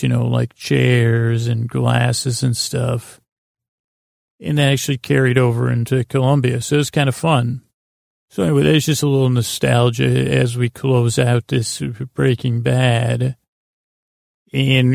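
A man with a creaky, gravelly voice, rambling gently and hesitantly as the friendly, goofy host of a bedtime podcast. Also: mid-range voice, no echo, studio quality. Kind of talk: rambling, meandering bedtime monologue.

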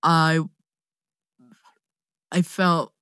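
A woman with a London accent, an actor being interviewed, telling anecdotes in casual, animated chat and acting out her own thoughts. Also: low-pitched, very slow, clear, resonant tone, dry recording, studio quality. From London, England, born in Nigeria. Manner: animated storytelling with self-quoted asides.

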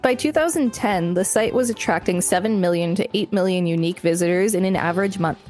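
A woman with a slightly low voice co-hosts a podcast, reading reference text aloud. Faint music plays behind her. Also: brisk, darkish tone. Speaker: podcast co-host. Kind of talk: reading an encyclopedia article aloud.

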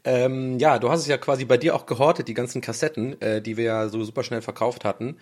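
A man's voice: mid-range voice, steady tone, quick pace. A man co-hosting a casual chat in a rambling, joking, self-deprecating way. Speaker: co-host.

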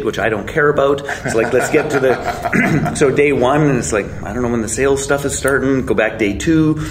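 A man in his forties tells his story as an interview guest. He speaks at a mid pitch with loose, blunt wording and casual swearing, and he pokes fun at himself. A light hiss sits under the voice. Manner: blunt, swearing, self-mocking, chuckling.

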